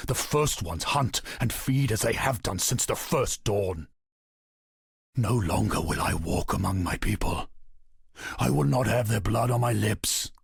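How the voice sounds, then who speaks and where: A male actor playing a proud, grim warrior king turned wolf-god in a video game, declaiming solemnly with a gravelly, husky voice. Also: fairly low pitch, no echo, clean studio sound. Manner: solemn, declamatory, deliberate oratory. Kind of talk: dramatic scripted game monologue.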